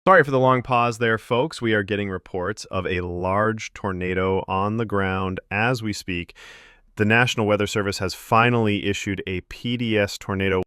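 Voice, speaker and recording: A man playing a TV chief meteorologist giving a halting live storm bulletin. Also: lowish voice, fairly even intonation, bright, forceful tone, clean tone. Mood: grave. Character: male TV chief meteorologist warning of a tornado.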